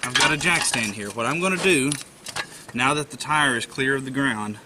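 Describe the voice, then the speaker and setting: A man's voice: mid-range voice, moderate pace, pushed and bright, a little hiss or hum. A man with a slight head cold, explaining a car repair step by step in a plainspoken, patient way with frequent fillers. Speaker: DIY car repair presenter. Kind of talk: casual how-to walkthrough.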